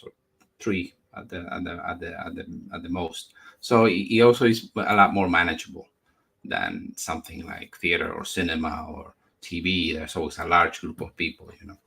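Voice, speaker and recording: Low, bright-voiced man in his forties. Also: gravelly, slightly rough. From Spain, raised in the United States. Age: 40s. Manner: halting, repeats words while searching for phrasing. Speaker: interview guest, comic book creator. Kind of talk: conversational interview answers.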